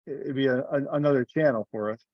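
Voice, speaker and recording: Man, medium pitch, hesitant explainer in casual meeting talk; dry, band-limited call audio. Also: fast, no echo, muffled recording. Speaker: meeting participant leading discussion. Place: online conference call.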